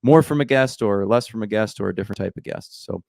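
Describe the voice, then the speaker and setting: A man hosting a podcast interview, chatting in a relaxed, joking way and saying like a lot, into a big studio mic with headphones on. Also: on the low side, quick pace, gravelly, no echo. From United States. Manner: joking, relaxed, heavy use of like. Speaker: podcast host. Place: indoor home studio. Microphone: headphones and large studio mic.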